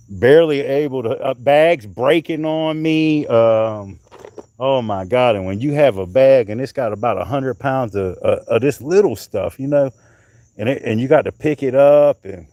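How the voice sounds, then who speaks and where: A man's voice: on the low side, dark tone. A man hosting a live stream, chatting casually and rambling as he shows pieces of coral, often trailing off mid-sentence. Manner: handling and showing coral pieces.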